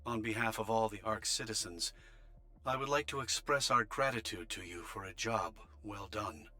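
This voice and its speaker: Game role: formal official, lowish bright voice, measured bureaucratic delivery of orders. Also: male voice. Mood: formal, solemn. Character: formal male official of an underground refuge giving orders. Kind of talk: scripted voice transmission, formal address.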